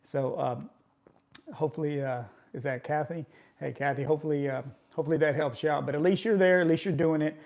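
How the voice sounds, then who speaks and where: A man's voice: a little high, steady tone, fairly quick, creaky, darkish tone. Chatty middle-aged man coaching viewers. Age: 40s. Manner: conversational, coaching, often tags remarks with "right?". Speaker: fitness vlogger. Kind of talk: casual live chat with viewers.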